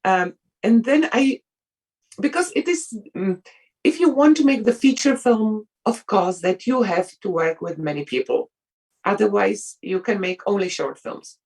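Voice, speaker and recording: A woman whose first language is Czech, a film director answering interview questions in halting speech with many restarts. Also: medium pitch, lively intonation, a little mellow, slightly rough, far from the mic. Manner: halting, searching for words, frequent restarts.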